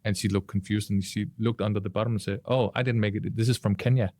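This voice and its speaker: A man with a fairly low voice speaks casually on a podcast panel. He sounds conversational, and the recording is dry with little room sound. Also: fairly even intonation, quick pace, darkish tone, no echo. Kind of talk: casual panel discussion and anecdote.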